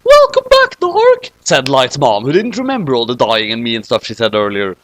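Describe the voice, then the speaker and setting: A man's voice: mid-range voice, very animated, a little fast, fairly bright tone, no room sound. A man narrating fan fiction as a dramatic comic reading, theatrical and exaggerated.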